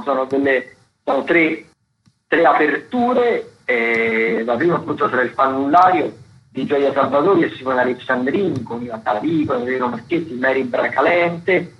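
A man hosting radio, presenting arts listings in a halting, repetitive way full of fillers. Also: medium pitch. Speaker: radio host. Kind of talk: radio arts listings commentary, loosely read. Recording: dull lo-fi radio broadcast.

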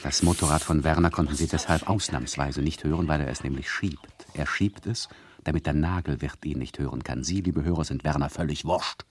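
An actor playing a mocking, bossy narrator of a satirical radio play, addressing listeners with mock solemnity and condescension. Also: male voice, very deep voice, a little fast.

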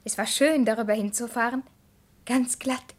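A woman's voice: fairly high pitch, fairly even intonation, moderate pace, lightly acted. An actress playing a young girl who narrates in a simple, lyrical way in a poetic radio drama. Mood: wistful recollection.

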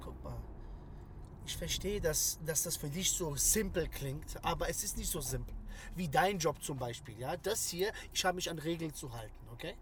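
An actor playing a defensive young working man in a naturalistic radio drama, earnest and halting as he argues his side. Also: fairly high pitch, lively intonation, background noise, lightly acted. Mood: defensive, strained. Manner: halting, restarts phrases, earnest.

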